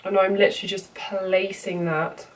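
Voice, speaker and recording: A woman's voice: mid-range voice, little pitch movement, normal speed. A young woman with a cold, sounding bunged up as she chats her way through a makeup tutorial with plenty of ums and you-knows. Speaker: makeup vlogger. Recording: slightly distant mic with faint room noise.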